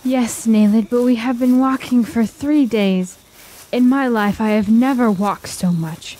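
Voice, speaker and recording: A woman's voice: fairly high pitch, measured pace, warm tone, low-quality recording. An actress playing a reluctant, comfort-loving woman traveler in a fantasy radio drama, fretful and plaintive. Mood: weary, pleading.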